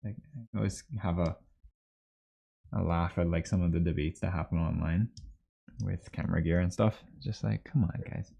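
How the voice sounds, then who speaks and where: Low, gravelly, laid-back man. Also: fairly even intonation, moderate pace, long pauses, dark tone. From Trinidad and Tobago. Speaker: livestream host, 3D artist. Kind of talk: casual livestream chat while sculpting. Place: small indoor home workspace.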